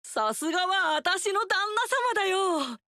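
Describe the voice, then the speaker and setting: A woman's voice: extremely high pitch, very animated, measured pace, bright, forceful tone, no echo, clean studio sound, exaggerated voice. An actress playing a fiery, confident warrior queen in a video game, with brash, teasing, tomboyish lines. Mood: proud.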